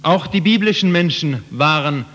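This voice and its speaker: A man's voice: on the high side, expressive, normal speed, fairly bright tone. A man interpreting a sermon phrase by phrase for the listeners. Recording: muffled, reverberant hall.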